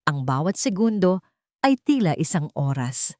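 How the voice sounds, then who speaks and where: A woman's voice: on the low side, sing-song, moderate pace, darkish tone, dry recording, studio quality. A woman reading a scripted love story as a dramatic narrator.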